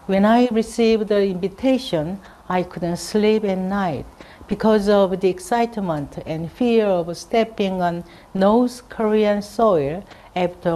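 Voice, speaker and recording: A woman giving a scripted formal conference speech in a measured manner. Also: lowish voice, dark tone. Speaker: conference speaker reading a prepared presentation.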